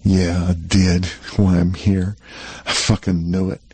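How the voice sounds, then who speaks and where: A man reading an audiobook, playing a restless young cowboy who talks fast, rough and excited, with plenty of swearing. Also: low voice, no echo, heavily acted. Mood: boastful. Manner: rough rural talk, swearing, quick and excited. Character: restless young male cowboy, eager and boastful.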